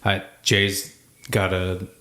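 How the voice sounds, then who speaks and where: A man's voice: sits low, completely flat delivery, slow pace, sharp tone. A man in his thirties co-hosting a podcast in casual chat, rambling through fillers and laughing often. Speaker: podcast co-host, band member. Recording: echoey room.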